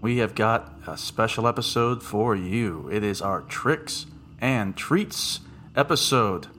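Deep-voiced joking man hosting a podcast. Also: animated, slow, a bit bright, a little hiss or hum. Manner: jokey, rambling, with frequent asides. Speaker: podcast host. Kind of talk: casual podcast chat.

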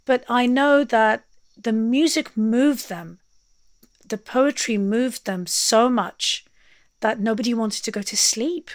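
A woman's voice: on the high side, takes it slowly, dry recording, clean studio sound. A woman telling personal memories conversationally, leaning on key words as she goes. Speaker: interview guest, singer. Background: music.

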